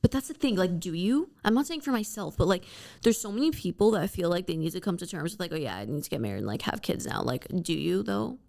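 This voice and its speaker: A woman's voice: lowish voice, very animated, fast talker, creaky, darkish tone. A woman in her twenties co-hosting a podcast, chatting casually and swearing freely, with lots of "like" fillers. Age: 20s. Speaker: podcast co-host. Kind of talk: casual chat.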